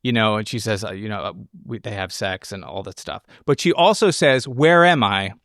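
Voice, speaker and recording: A man's voice: on the low side, normal speed, a bit bright, no room sound. Man in casual film discussion, dry sound. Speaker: podcast host analyzing a film.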